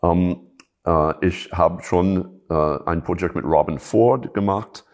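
A middle-aged man from Chicago with an American accent, speaking German in an interview with many pauses and restarts. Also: sits low, a little mellow. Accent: American English. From Chicago, USA. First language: English. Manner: hesitant, many fillers and restarts. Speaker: jazz musician and teacher, guest. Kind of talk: casual interview talk.

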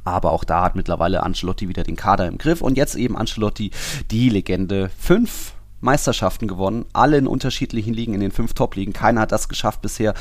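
A man's voice: on the low side, fast talker, no room sound. A man co-hosting a football podcast, talking casually in long, run-on analytical stretches. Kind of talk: casual sports podcast discussion.

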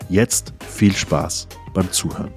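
A male podcast host with a low, deep voice. He welcomes his guests warmly and steers the conversation in a friendly way. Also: slow pace, creaky, faint music behind. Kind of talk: podcast interview hosting.

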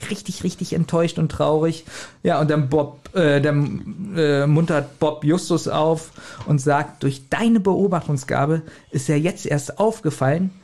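A man co-hosting a podcast, casually retelling a story in a jokey, animated way. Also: a little high, a little slow. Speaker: podcast co-host. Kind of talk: casual chat retelling an audio drama episode.

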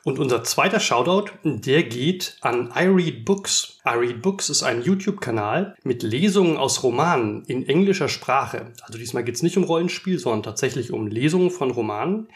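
A man's voice: mid-range voice, expressive, normal speed, hardly any pauses. Man co-hosting a podcast. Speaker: podcast co-host.

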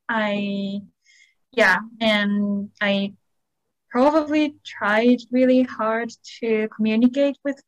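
A woman with a Japanese accent answering casually in an interview, in careful, halting second-language English with polite phrasing. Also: a little high, rough recording. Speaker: real estate agent, interview guest. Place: on a video call.

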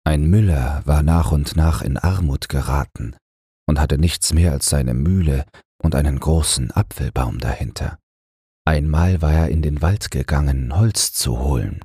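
A man narrating a fairy tale as a bedtime audiobook, in a low, husky, breathy voice. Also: a little slow, dark tone, no echo, studio quality.

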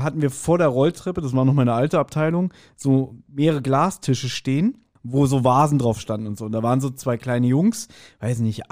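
A man co-hosting a podcast in casual chat, telling things like an animated storyteller. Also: medium pitch. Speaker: podcast co-host. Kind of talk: casual chat, speculating about an audio drama episode.

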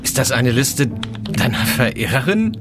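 A man's voice: mid-range voice, big pitch swings, a little slow. A man playing a dry, matter-of-fact, level-headed professor in a German sci-fi audio drama. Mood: focused, dry. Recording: music under the dialogue.

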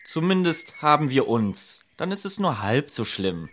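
Unhurried, lively-pitched fairy-tale hairdresser. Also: male voice. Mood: easygoing. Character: easygoing, good-natured hairdresser in a fairy tale.

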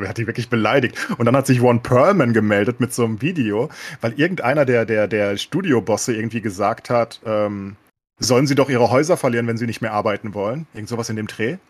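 A man's voice: on the low side, fast talker, breathy edge. A man in a casual podcast discussion, talking colloquially and opinionatedly with English words mixed in. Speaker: podcast co-host.

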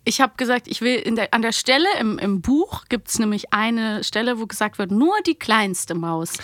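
A woman's voice: fairly high pitch, normal speed, no room sound. A woman chatting casually as a podcast host, telling childhood anecdotes with teasing asides.

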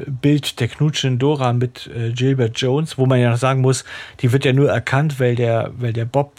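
A man's voice: medium pitch, steady tone, normal speed, darkish tone. A man co-hosting a fan podcast who talks hesitantly in casual chat, with many fillers and frequent laughs. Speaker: podcast co-host. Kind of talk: casual chat discussing a radio play.

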